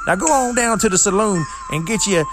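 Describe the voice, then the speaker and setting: A man with a high voice hawks beer in a brash, macho parody ad. Music plays clearly under his voice. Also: expressive, brisk. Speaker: parody ad announcer. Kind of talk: mock beer commercial. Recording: music bed behind.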